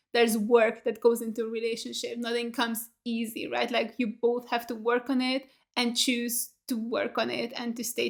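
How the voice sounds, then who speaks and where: Young woman, 20s, reaction host; slightly high, chatty, filler-heavy delivery, voice a bit worn. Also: creaky. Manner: chatty, lots of fillers and rhetorical questions, gestures with hands. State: voice getting tired. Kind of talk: casual recap chat. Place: small home studio room with bookshelf.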